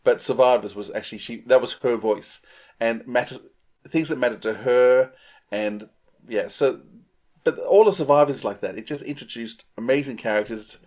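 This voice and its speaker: A middle-aged man with a medium-pitched voice chats as a podcast co-host. He reviews things with enthusiasm and emphasis, in an informal way, over a video call. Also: moderate pace, a little mellow. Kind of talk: casual chat, enthusiastic reviewing. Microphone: earphones on a video call.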